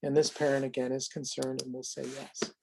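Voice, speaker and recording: A presenter giving an explanatory software demonstration in a measured way, often pausing mid-sentence. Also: mid-range voice, flat delivery, vocal fry, noisy background. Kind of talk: semi-scripted explanatory demo narration.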